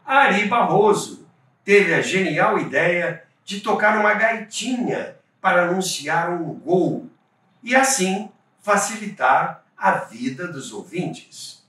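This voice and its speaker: A Brazilian man with a high-set, bright voice reads scripted documentary narration at an unhurried pace. He tells it like a storyteller, with emphasis, in a roomy space away from the microphone. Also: lively intonation. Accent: Brazilian Portuguese. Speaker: podcast host and narrator of radio history. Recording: roomy echo, distant from microphone.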